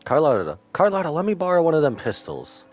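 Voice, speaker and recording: A man's voice: a little high, huge pitch swings, fast, lo-fi sound, soft background music. A man giving casual live reactions as a game streamer, slangy and irreverent, talking back to the game.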